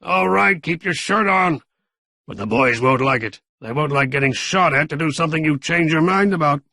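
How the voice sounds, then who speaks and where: A man's voice: a little high, normal speed, creaky, pushed and bright, no echo, studio quality, full character voice. A man doing a grumbling crew boss. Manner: grumbling, exasperated. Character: grumbling, put-upon male work crew boss.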